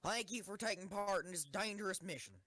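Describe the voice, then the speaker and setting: A woman's voice: medium pitch, brisk, fairly bright tone, dry recording, studio quality, natural voice. Woman playing a ruthless young tank commander. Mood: controlled concern. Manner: commanding, intense. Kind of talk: fan audio drama acting.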